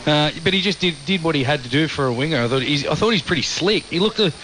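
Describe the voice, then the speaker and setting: Australian male radio pundit, measured and bright, light noise behind. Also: a little high, lively intonation, rough recording. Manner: measured, hesitant with fillers. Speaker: radio rugby league commentator. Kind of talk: post-match radio panel analysis.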